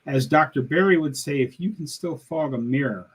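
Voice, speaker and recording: Husky sixty-year-old man. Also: fairly high pitch, moderate pace. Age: 60s. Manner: earnest, plain-spoken storytelling. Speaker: guest. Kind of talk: casual interview chat.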